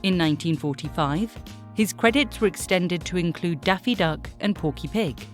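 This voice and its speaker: A woman with a slightly low voice narrates a scripted history documentary. Her delivery is measured and explanatory, and faint music plays behind her. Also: lively intonation.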